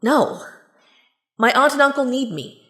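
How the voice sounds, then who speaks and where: A woman's voice: high-pitched, expressive, measured pace, a bit bright, reverberant. A woman playing a plucky, sarcastic young traveller in an audio drama, blunt and determined.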